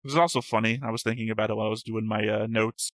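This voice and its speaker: A man with a bright, forward voice chats casually while reading through notes, stopping often to correct himself and swearing now and then. He is recorded dry and close on the mic, with no room sound. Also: fairly low pitch, fairly even intonation, very fast, no room sound. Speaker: reaction show host reading notes.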